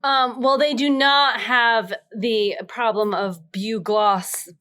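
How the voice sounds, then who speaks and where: A woman in her thirties chats on a video-call comedy panel. Her voice sits a little high, and she improvises in a playful, casual style. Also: steady tone, slow pace, pushed and bright, clear, resonant tone.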